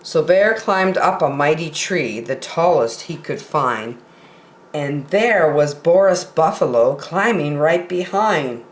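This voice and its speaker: A woman reads a children's picture book very slowly in a lowish, highly animated voice. She leaves dramatic pauses between phrases, and the room is echoey. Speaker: children's story time reader. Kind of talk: expressive picture-book reading.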